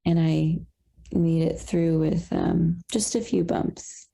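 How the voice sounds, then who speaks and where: A young woman on a video call, sharing reflectively with halting pauses and fillers. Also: on the low side, fairly even intonation, creaky, dark tone, slightly rough, poor audio. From Colombian roots. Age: young adult. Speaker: satsang participant.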